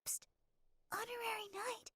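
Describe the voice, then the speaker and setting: A woman voicing a sweet, cheerful, helpful young woman in a video game, gentle and eager in manner. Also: very high-pitched, flat delivery, a little slow, a little hiss or hum, heavily acted. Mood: reassuring. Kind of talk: English video game voice acting.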